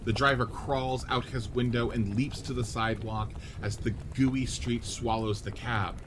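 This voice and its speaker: A man's voice: lowish voice, background noise. A man reading scripted narration and stage directions for a radio play.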